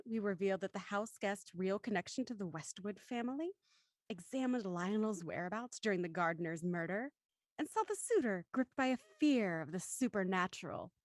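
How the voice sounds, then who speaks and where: A woman's voice: a little high, a bit bright, no room sound. A woman hosting a live show with casual banter, playful and self-deprecating as she jokes around. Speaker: host.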